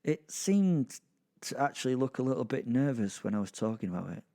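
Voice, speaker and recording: A man playing a gleeful, foul-mouthed werewolf who narrates a dark comic horror audio drama in a rambling, conversational, sardonic way. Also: medium pitch, very animated, brisk. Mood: wry, bemused. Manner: rambling, sardonic, sweary asides with sighs and chuckles. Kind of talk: first-person storytelling, conversational dark comedy.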